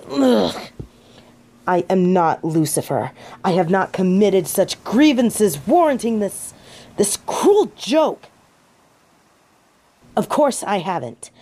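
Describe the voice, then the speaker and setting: A proud, easily offended fallen angel, acted by a woman for an audio roleplay. The voice sits on the low side for a female, with a soft, dark colour. The delivery is slow and imperious, every formal phrase weighted with wounded superiority. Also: full character voice. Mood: indignant, affronted. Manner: pompous, formal archaic phrasing, easily affronted. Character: snobby, haughty fallen angel woman in indignant denial. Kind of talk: scripted audio roleplay acting.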